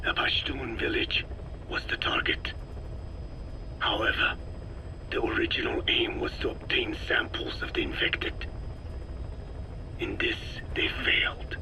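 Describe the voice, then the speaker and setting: An actor playing a frail old scientist in a video game, speaking slowly and precisely in a weak, breathy rasp. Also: male voice, on the low side, big pitch swings, background noise, full character voice. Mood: grave, clinical. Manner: slow, deliberate, precise, scientific. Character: frail old male scientist explaining his work, measured and grave. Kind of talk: dramatic game voice acting, expository dialogue.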